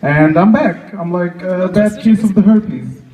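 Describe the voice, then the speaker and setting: Echoey dull live recording, young man, high voice, halting conversational stand-up, self-deprecating. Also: recorded from a distance. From Egypt. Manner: halting, self-deprecating, acts out short exchanges. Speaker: stand-up comedian. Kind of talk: stand-up comedy, conversational storytelling.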